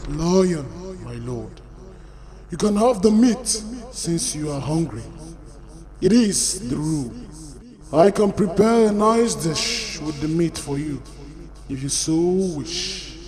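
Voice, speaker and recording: A man's voice: fairly high pitch, animated, slow, darkish tone. A man voicing a smaller, frightened but clever storybook leopard, humble, careful and deferential. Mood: meek, placating. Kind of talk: storybook character acting.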